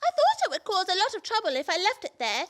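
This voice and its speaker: A woman's voice: extremely high pitch, wildly swooping pitch, quick pace, a bit bright, full character voice. A woman playing a naive, guileless girl in a farcical radio play, chattering earnestly and dramatically. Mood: innocently matter-of-fact. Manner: earnest, wide-eyed, theatrical. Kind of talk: stage farce performed as radio play.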